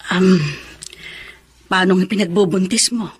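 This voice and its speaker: A woman's voice: medium pitch, fairly even intonation, unhurried, breathy edge, no echo, studio quality. An actress playing a caring, inquisitive middle-aged mother in a radio drama, conversational and motherly. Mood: concerned. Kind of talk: Tagalog radio drama acting.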